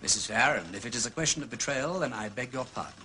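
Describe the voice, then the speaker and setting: An actor playing an urbane aristocratic gentleman sleuth with an upper-class English accent, drawling and probing with theatrical understatement in a radio drama. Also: mid-range voice, fast talker, a little hiss or hum, lightly acted. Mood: grave warning.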